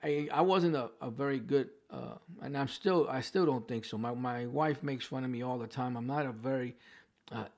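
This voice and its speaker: A man with a New York accent talks through a Bible chapter like a casual preacher. His pitch sits in the middle range, and he restarts his sentences and tosses in "you know" and "okay?" as he explains. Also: moderate pace, darkish tone. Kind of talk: informal Bible teaching. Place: home with a dog barking in the background.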